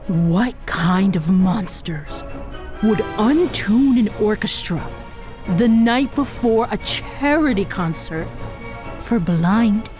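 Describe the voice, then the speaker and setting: A woman's voice: medium pitch, animated, takes it slowly, a little mellow, faint music behind. A woman playing a scornful, exasperated costumed superheroine in an audio drama, with grandiose heroic speech that slips into annoyance. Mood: righteous indignation.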